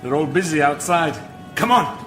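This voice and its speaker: A man's voice: on the high side, expressive, moderate pace, roomy sound, long reverb tail, over music, natural voice. A male actor in a radio drama playing a brave young rescuer loyal to the king, urgent and commanding. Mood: hushed, urgent. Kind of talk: broadcast radio drama acting.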